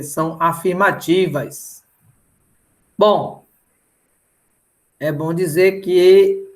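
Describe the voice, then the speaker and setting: A middle-aged Brazilian man lecturing didactically in an online lesson, often checking in with short tag questions. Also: a little high. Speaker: mathematics teacher.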